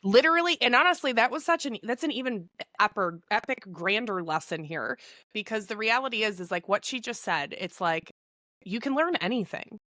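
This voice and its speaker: A woman hosting a casual podcast, her voice bright and a touch higher than most. She talks fast and emphatically, peppering everything with profanity and hype. The sound is close and dry. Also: lively intonation, no room sound. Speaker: podcast host.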